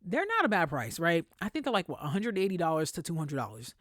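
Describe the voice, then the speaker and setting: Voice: mid-range voice, huge pitch swings, fast talker, a bit bright, no room sound, clean studio sound. A podcast host giving casual, opinionated celebrity commentary in a conversational, emphatic way with plenty of slang.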